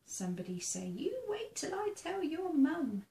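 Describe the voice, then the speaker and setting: A woman reading a children's picture book aloud in a theatrical, expressive way, engaging young listeners. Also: high voice, unhurried. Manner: asks the listening child questions. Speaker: storybook reader. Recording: roomy sound with faint background noise.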